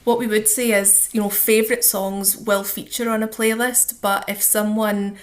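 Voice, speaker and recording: Female charity guest, Scottish accent, mid-pitched and level in intonation, informal and explanatory interview delivery. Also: flat delivery, normal speed.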